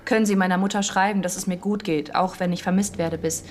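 A gentle, earnest young woman secret agent voiced by an actress in a radio play. She speaks hesitantly and politely. Engine and street noise is clearly heard around her. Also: fairly low pitch, fairly even intonation, quick pace, lightly acted. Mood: anxious. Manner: hesitant, soft-hearted, polite. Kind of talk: radio drama acting.

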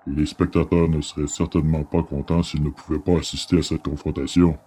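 A man voicing a calm, unimpressed male prison guard, a placid tough in an audiobook. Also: very deep voice, brisk, a little hiss or hum, pitched below the performer's own voice. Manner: placid, matter-of-fact.

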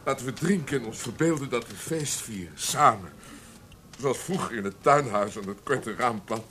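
A man's voice: medium pitch, expressive, moderate pace, bright, forceful tone. An actor playing a heartbroken, guilt-ridden man with emotional, self-pitying delivery in a radio play. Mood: forced merriment. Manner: emotional, self-pitying, swinging from subdued to agitated. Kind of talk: Dutch radio play acting. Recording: hissy old radio play recording.

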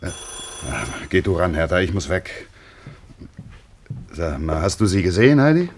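An actor playing a middle-aged village vet and father in a German radio play, measured and matter-of-fact, patient with children. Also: deep voice, big pitch swings, a little mellow, slight background noise. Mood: patient, explaining.

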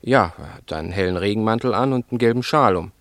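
Low-voiced, emphatic milk bar owner, acted by a man. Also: fast, fairly bright tone, dry recording, natural voice. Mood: insistent. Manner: emphatic, certain, colloquial. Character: agitated, decisive male milk bar owner and eyewitness. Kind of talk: German radio crime drama acting.

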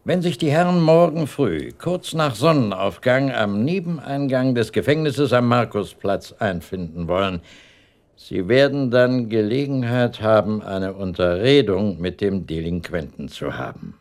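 Servile official in radio play, gravelly low-ish voice, very formal. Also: male voice, expressive, a little slow, a little mellow. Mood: obliging. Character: obliging, deferential male official guarding a prisoner. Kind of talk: German radio drama acting.

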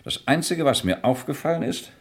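A man's voice: a little high, animated, brisk. A man playing a dutiful army major in a vintage radio crime drama, speaking with formal military courtesy. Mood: earnest, hesitant. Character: dutiful army major defending his fellow officers' honour.

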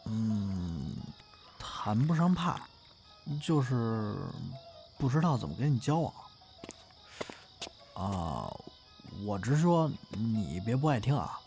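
A man playing a candid, easygoing young performer in an audio drama, speaking colloquially and haltingly. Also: lowish voice, very animated, darkish tone, soft background music, exaggerated voice. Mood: awkward, hesitant. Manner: colloquial, halting, hedging speech. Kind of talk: modern Chinese audio drama acting, naturalistic dialogue.

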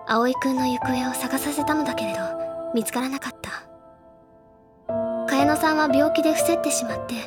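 An actress playing a gentle, bookish teenage schoolgirl in a Japanese audio drama, with soft feminine phrasing. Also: sits high, a little fast, close to an everyday voice. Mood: gentle, wistful. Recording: music behind the voice. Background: clear background music.

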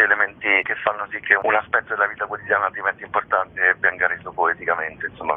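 A man with a fairly low voice comes through a narrow, tinny telephone line. He explains things as an interview guest, hesitating often and stretching out hums while he searches for the next phrase. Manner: hesitant, with frequent drawn-out hums while thinking. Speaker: theatre company director interviewed about a show.

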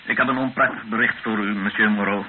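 Acteur als korte, formele legerkapitein, doffe opname. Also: male voice, lowish voice, steady tone, fast, natural voice. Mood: formal. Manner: clipped, matter-of-fact. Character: curt, formal Congolese army captain, secretly humane. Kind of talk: radio play acting. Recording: muffled, hissy old radio recording.

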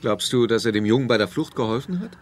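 An actor playing a gruff, wry police lieutenant in a radio drama. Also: male voice, mid-range voice, animated, fast, lightly acted. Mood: grumbling. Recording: clearly noisy background.

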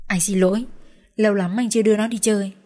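A woman voicing a gentle, hesitant middle-aged father who speaks softly and apologetically. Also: mid-range voice, fairly even intonation, fast, lightly acted. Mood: apologetic. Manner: soft, apologetic, unsure.

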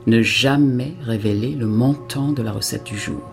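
A man with a husky, creaky voice reading scripted literary narration in a radio play. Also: mid-range voice, moderate pace, close to an everyday voice. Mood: measured. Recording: music behind the voice.

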